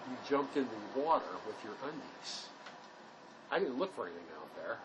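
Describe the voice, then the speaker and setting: An actor playing an earnest, soft-hearted man on the run, plain-spoken and caring, in dramatic dialogue. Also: very animated, normal speed, close to an everyday voice. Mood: gentle concern. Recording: noisy recording with rumbling ambience.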